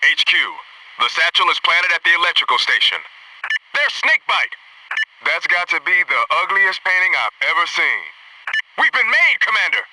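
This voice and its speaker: Clipped soldier on noisy radio. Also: male voice, sits high, sing-song, moderate pace, recorded from a distance. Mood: businesslike, then urgent alarm, then dryly wry. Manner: clipped, businesslike radio-report delivery. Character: disciplined Navy SEAL soldier, steady, dryly wry. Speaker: special forces teammate reporting to headquarters. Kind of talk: military shooter game voice lines.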